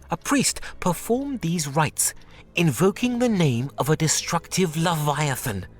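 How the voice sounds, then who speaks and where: Bookish male translator, incredulous storyteller, very animated intonation, video game drama, music underneath. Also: on the high side. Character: scholarly, sceptical male translator of ancient books.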